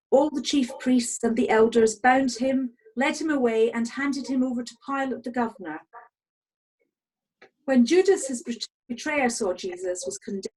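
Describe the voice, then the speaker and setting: A woman narrates a Bible passage as a scripted reading. Her voice is fairly high, with a husky edge. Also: darkish tone, low-quality recording. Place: online video meeting from home.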